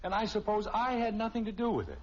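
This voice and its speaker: A man's voice: high voice, very animated, fast talker. An American actor playing a middle-aged husband and father in an old radio family comedy, teasing and indignant but good-humoured. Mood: mock indignant. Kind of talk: mid-century radio sitcom acting. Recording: muffled, noisy old radio recording.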